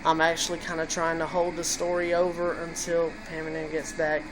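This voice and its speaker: A man's voice: fairly high pitch, monotone, brisk, noisy background. A man vlogging at home, a bit drunk and stoned, telling a long profane rambling story. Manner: profane, meandering, tipsy storytelling. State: tipsy and stoned. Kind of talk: rambling casual chat. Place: at home indoors. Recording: music playing in the room.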